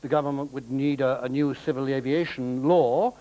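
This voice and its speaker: A middle-aged man with an English accent playing a polite, sceptical television interviewer in a satirical sketch, probing in a measured but hesitant way. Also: mid-range voice, steady tone, mellow, lightly acted. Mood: doubtful, patient. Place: television studio before an audience.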